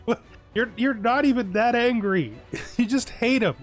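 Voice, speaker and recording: A man's voice: extremely high pitch, a little slow, faint music behind. A man hosting a roleplay let's play, mixing casual commentary with theatrical story reading in a wryly amused manner. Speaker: host of a gaming let's play.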